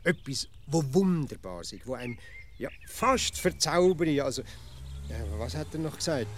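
An actor with a Swiss accent playing a stubborn, easily irritated provincial simpleton philosopher in a deadpan radio comedy, pedantic and repetitive. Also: male voice, on the high side, a little hiss or hum, lightly acted. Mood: earnest, groping. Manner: pedantic, deliberate, repeats himself.

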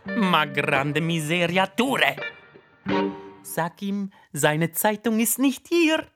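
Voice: mid-range voice, sing-song, slow pace, music in the background, pitched above the performer's own voice. A performer voicing a sly, scolding Italian mother with an Italian accent, hissing bossy orders in a children's story. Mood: urgent, bossy.

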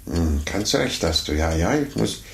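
An actor playing a fussy, condescending older gentleman in a 1950s radio play, muttering to himself and talking down patronizingly. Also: low voice, big pitch swings, a little slow, creaky, full character voice. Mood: flustered, pompous. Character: condescending, fussy, self-important older gentleman chess amateur. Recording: roomy, noisy 1950s radio recording.

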